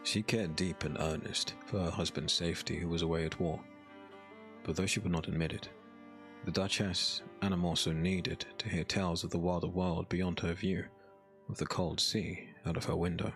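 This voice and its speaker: A man narrating a scripted dark fantasy audiobook in a measured, literary storytelling manner. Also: low-pitched, flat delivery, faint music behind.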